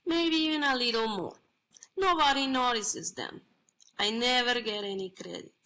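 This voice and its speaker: A woman playing God as a blasé, boastful bathhouse attendant in a play reading, speaking in halting, self-satisfied phrases. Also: high voice, gravelly, bright, forceful tone, heavily acted, poor audio. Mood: boastful, aggrieved.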